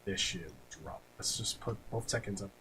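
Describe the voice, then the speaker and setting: A man's voice: lowish voice, moderate pace, bright, forceful tone, slight background noise, low-quality recording. A man co-hosting a podcast in casual chat, blunt and slangy, swearing freely. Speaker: podcast co-host. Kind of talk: casual chat about video game movies.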